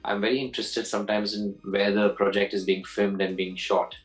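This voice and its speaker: A man in his forties with a lowish voice talks through his answers in a relaxed interview. He circles back, restarts phrases and repeats words before landing on his point, and laughs now and then. Faint music sits underneath. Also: a little fast, low-quality recording. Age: 40s. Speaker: actor being interviewed.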